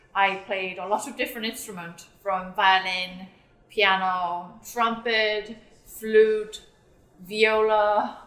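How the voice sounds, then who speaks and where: A young woman who was born in South Korea and grew up in England, speaking thoughtfully about her work as an orchestral bassist in an interview. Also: fairly bright tone. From South Korea, raised in England. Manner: thoughtful, earnest, with fillers. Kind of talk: interview talk. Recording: roomy echo.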